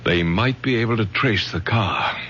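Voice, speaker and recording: A man's voice: fairly low pitch, normal speed, bright, forceful tone. An actor in a radio drama playing a middle-aged professor father, protective and authoritative, with clipped, insistent questioning. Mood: tense, calculating. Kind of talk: 1970s radio drama acting. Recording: muffled, hissy old radio broadcast recording.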